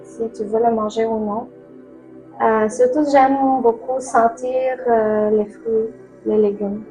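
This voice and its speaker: A woman as a guest in a casual talk, speaking hesitantly with many pauses. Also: on the high side, fairly even intonation, low-quality recording. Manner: slow, hesitant, full of pauses. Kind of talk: casual conversation. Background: soft new-age music.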